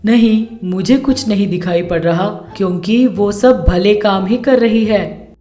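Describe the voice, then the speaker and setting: A woman voicing a calm, gentle young married son in a storybook cartoon, reasoning patiently and philosophically. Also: mid-range voice, measured pace, faint music behind, close to an everyday voice. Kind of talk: animated storybook voice acting.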